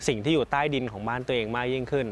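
A man's voice: mid-range voice, very fast, a little hiss or hum. A young man explains history in a polite, formal way during an outdoor interview. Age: young adult. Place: outdoors at ancient stone ruins.

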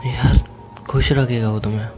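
A man's voice: mid-range voice, fairly even intonation, moderate pace. A young man in an emotional private phone conversation, speaking in a pleading, insistent way. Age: young adult. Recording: phone call recording with music. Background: music.

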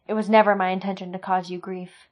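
A woman's voice: medium pitch, flat delivery, fast talker, a little mellow, close to an everyday voice. A woman voicing a resolute young man who declares himself firmly and earnestly.

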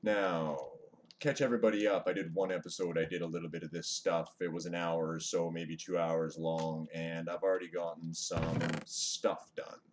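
Deep-voiced man streaming on a webcam mic. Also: flat delivery, normal speed. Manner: chatty, greets viewers, mutters over gameplay. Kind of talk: casual live-stream chat while gaming. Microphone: webcam microphone, away from mouth. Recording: faint hiss from webcam mic.

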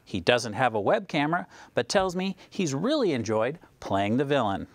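A man's voice: medium pitch, sing-song, normal speed, no room sound. A middle-aged American man presenting a scripted news feature.

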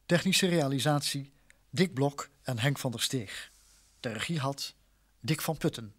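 Medium-pitched male radio announcer. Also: measured pace, breathy edge. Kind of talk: scripted radio credits.

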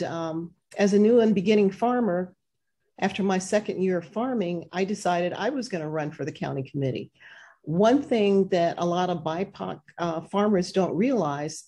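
A woman's voice: fairly low pitch, warm tone. An older woman farmer on a video call webinar, giving earnest practical advice with frequent "um" and "you know". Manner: earnest, advisory, many fillers. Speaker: farmer panelist. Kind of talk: webinar panel answer. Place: home office with ceiling fan. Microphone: video call webcam.

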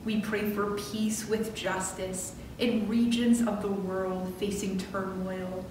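A middle-aged woman minister leading a scripted prayer in a measured, solemn way, phrase by phrase, from a church pulpit. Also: mid-range voice, little pitch movement. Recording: roomy distant church recording with clear noise.

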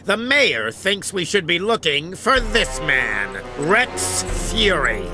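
High-pitched blustering police chief. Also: male voice, sing-song, slow, over music, exaggerated voice. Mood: pompous briefing. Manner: blustering, barking orders. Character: blustering, irritable, self-important male police chief. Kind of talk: animated comedy game acting.

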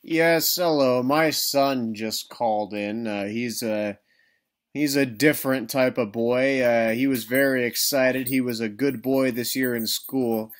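A man's voice: medium pitch, a little slow. Earnest apologetic man on a call. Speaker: prank caller posing as a parent. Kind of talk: prank call.

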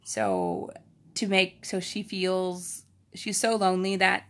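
A woman reads a children's story aloud with expressive emphasis, pausing to explain it informally. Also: on the low side. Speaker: storybook reader.

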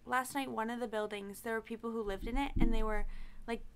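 A woman's voice: on the high side, steady tone, a little fast, a little hiss or hum. A young adult woman, chatting casually on a podcast.

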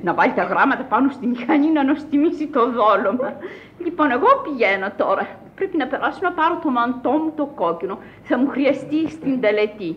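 An actress playing an eccentric, bossy old countess in a commanding, theatrical radio drama style. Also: female voice, high voice, lively intonation, lo-fi sound. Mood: brisk, whimsical. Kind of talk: Greek radio theatre acting.

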